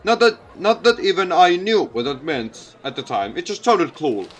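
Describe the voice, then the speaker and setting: A popular, folksy mayor, as a man reads him out in a visual novel. The voice is pitched up and oratorical, jovial yet earnest and encouraging, as in a public address. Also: animated, moderate pace, slight background noise. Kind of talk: visual novel speech read-aloud.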